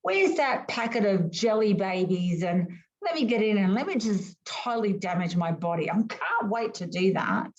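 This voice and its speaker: A woman whose first languages were Greek and Arabic, teaching an online class over a video call in a lively, emphatic way full of rhetorical questions. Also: medium pitch, moderate pace, hardly any pauses, dull audio.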